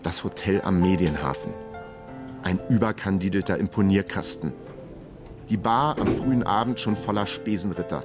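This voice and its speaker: A man's voice: low-pitched, normal speed, over music, close to an everyday voice. An actor playing a wry, broke ex-detective in his forties, narrating a radio crime drama in a laconic, self-mocking way. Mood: dry, weary. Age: 40s.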